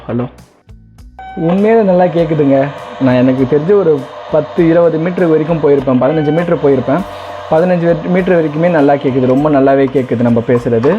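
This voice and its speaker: Guy doing a casual unboxing, chatty, drops english words, kinda muffled sound w faint music behind. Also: on the high side. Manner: conversational, mixes in English words. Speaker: video host. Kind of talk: casual product unboxing commentary.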